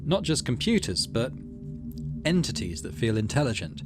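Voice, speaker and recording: A middle-aged man doing let's play commentary, muttering asides and reading game narration aloud in a measured storytelling way. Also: mid-range voice, very animated. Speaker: gaming video host.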